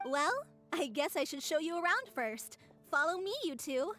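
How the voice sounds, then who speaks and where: A woman acting an earnest, kind-hearted young woman in a video game, with an eager and expressive delivery. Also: sits high, normal speed. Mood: upbeat. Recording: faint music under the voice.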